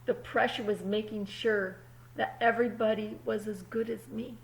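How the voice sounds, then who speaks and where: A woman voicing an earnest, dutiful little egg in a children's storybook, telling its own tale with drawn-out emphasis. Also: medium pitch, fairly even intonation, creaky, lightly acted, rough recording. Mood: strained. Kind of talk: first-person children's storybook narration.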